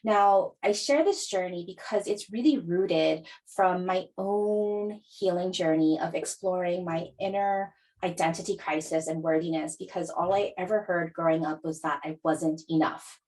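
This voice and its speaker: A woman's voice: on the low side, moderate pace, a little mellow, poor audio. A middle-aged woman from Hawaii, an astrologer and podcast host, teaching a live online masterclass in an upbeat, earnest way with frequent ums. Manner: upbeat, earnest, talks with filler ums and laughs.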